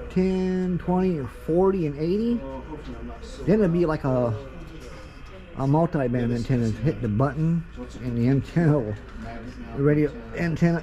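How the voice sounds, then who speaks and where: A man's voice: a little high, warm tone. An American man giving an informal, opinionated walkthrough of radio gear and advising listeners what to buy. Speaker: vlogger showing radio gear in a store. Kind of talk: informal off-the-cuff product walkthrough. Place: inside a busy radio store. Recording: muffled audio with busy store chatter. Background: other people talking.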